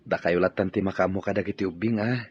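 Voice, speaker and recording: A man playing a calm, reassuring adult son in a radio drama. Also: low-pitched, quick pace, breathy edge, dry recording. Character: adult son and husband working abroad. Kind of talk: Ilocano radio drama acting. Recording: dull lo-fi audio.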